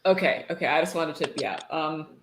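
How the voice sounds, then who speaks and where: A woman's voice: deep voice, a little fast, over a noisy crowd. Sardonic woman in an echoey room. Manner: dry, sarcastic. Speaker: host reading a book aloud. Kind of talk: sardonic dramatic reading with casual commentary. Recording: roomy echo, faint noise.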